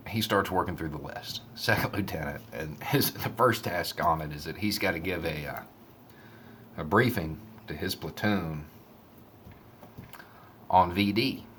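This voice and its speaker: Very deep-voiced man, casual commentary. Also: takes it slowly, long pauses, a bit bright, a little hiss or hum. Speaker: online commentator. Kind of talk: casual spoken commentary and storytelling.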